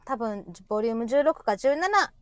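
A woman's voice: on the high side, animated, fairly quick, smooth, no echo. A woman with a Kansai accent hosting a casual comedic chat in a teasing, chatty way. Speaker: host of the show.

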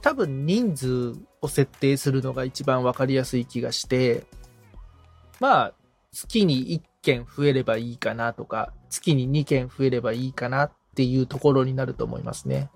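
A man's voice: medium pitch, normal speed, gravelly, faint music behind. A man working as a podcast assistant, casually asking questions and thinking his way through them hesitantly. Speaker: podcast assistant, guitar repairman.

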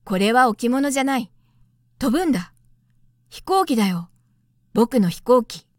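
A woman's voice: a little high, lively intonation, measured pace, no room sound, clean studio sound. A woman voicing a stranded male pilot in a storybook, earnest, easily irked and plain-spoken. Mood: proud, then sheepish.

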